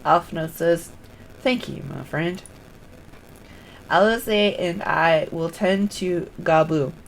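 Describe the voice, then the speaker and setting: Female game streamer, slow delivery, gravelly fry, noisy recording. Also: on the low side. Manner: thinks aloud in short fragments. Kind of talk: live game stream, reading quest dialogue aloud and muttering casually.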